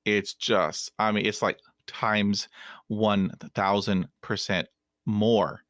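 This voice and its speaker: A man hosting an interview who stutters, cutting words short and starting them over. He talks very slowly. The recording is dry, with no room sound. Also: lowish voice, flat delivery, fairly bright tone, no echo. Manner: stutters, with broken-off words and repeats. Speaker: podcast host. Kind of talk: casual interview talk.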